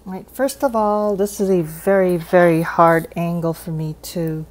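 A woman's voice: on the low side, steady tone, normal speed. A woman giving a casual, unscripted hands-on demonstration, thinking aloud with a hesitant delivery. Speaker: hobbyist demonstrating cassette tape repair. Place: indoors at a desk.

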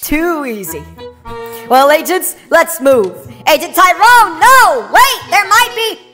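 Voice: extremely high pitch, animated, slow pace, sharp tone, long reverb tail, heavily acted. An actor voicing an earnest young adventurer in a children's cartoon, with faint music behind. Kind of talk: animated children's cartoon acting.

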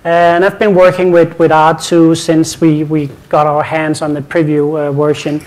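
A man with a Danish accent presents a technical session from a conference stage. His voice sits fairly high for a man. He talks casually, often repeats words and slips in light jokes. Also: little pitch movement, normal speed, rough recording. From Denmark.

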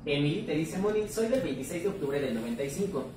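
A co-host who briskly reads viewers' questions aloud in Spanish. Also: medium pitch, lively intonation, a little mellow. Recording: very echoey room, far from mic, background noise.

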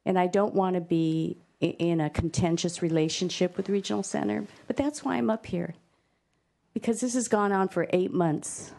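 A woman's voice: medium pitch, vocal fry, warm tone. A woman, a parent, making an impassioned public comment, heartfelt with stumbling restarts. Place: state hearing room.

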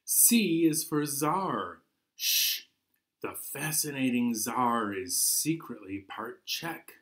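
A man's voice: medium pitch, animated, slow, bright, forceful tone. A middle-aged man hosting a children's storytime with rhythmic, playful delivery. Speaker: library storytime host. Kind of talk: children's songs and picture-book reading. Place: living room at home.

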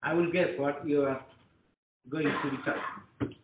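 A man's voice: on the high side, steady tone, a little slow. A man whose first language is Bengali, lecturing a class in an earnest, encouraging way and often repeating himself. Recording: echoey room, tinny phone-like sound.

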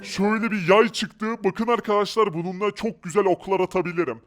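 A man's voice: high voice, steady tone, fairly quick, fairly bright tone, faint music behind. A man giving playful game commentary, exclaiming and talking through each move he makes. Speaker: gaming video player. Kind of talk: playful Minecraft adventure commentary.

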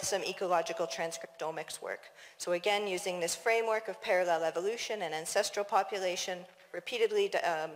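A woman from Toronto with a Canadian accent, giving an academic conference talk in a measured, hesitant way in a large auditorium. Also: mid-range voice. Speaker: scientist presenting at a conference. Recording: reverberant auditorium, long hall echo.

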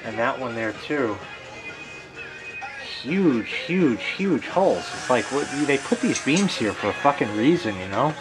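A man's voice: medium pitch, animated, moderate pace, few pauses, over music, poor audio. A man giving a casual, rambling walkthrough as he films home repairs, swearing freely with a wry, crude manner. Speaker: homeowner filming his plumbing repair.

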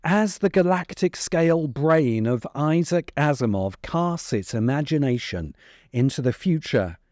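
Mellow male narrator with lively intonation. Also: on the high side, a little slow, no room sound, clean studio sound. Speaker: video essayist and host. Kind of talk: scripted video essay narration. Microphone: close desk microphone. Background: music under the voice.

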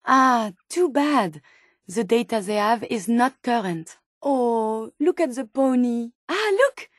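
Game role: earnest female robot scientist, French accent, high register, precise formal diction, very dry sound. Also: expressive, slow pace, no echo. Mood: curious. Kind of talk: video game companion dialogue.

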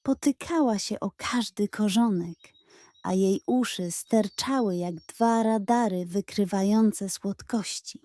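A woman narrating a scripted bedtime story for children in a gentle, expressive way. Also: mid-range voice, slow, no room sound.

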